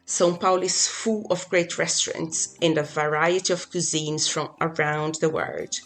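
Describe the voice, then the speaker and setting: A woman's voice: low voice, normal speed, a bit bright, faint music behind, poor audio. A woman from Brazil, a native Portuguese speaker, reading her part as a guest in a scripted podcast conversation.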